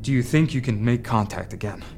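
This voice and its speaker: A man's voice: medium pitch, fairly quick, vocal fry, over music, natural voice. An actor playing a plain-spoken male police detective in an audio drama, probing with direct questions.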